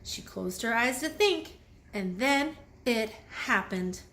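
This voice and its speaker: Female children's story reader, high voice, highly animated, expressive, addressing young listeners. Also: slow pace. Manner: expressive, asks the listeners questions. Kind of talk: read-aloud storytelling with asides to children.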